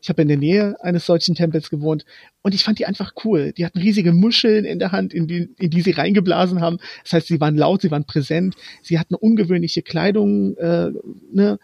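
A young man whose first language is Romani speaks as an interview guest, pausing often to hunt for the right word. His voice sits fairly high and carries a gravelly, husky edge. The recording is dry and a little dull, like lo-fi sound. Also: darkish tone, dry recording. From Germany. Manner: halting, pausing often to find words. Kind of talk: interview conversation.

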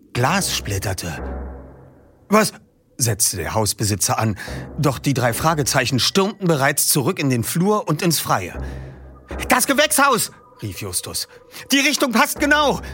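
Lively male narrator reading aloud. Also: medium pitch. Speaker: host and reader. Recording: faint music behind. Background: faint music.

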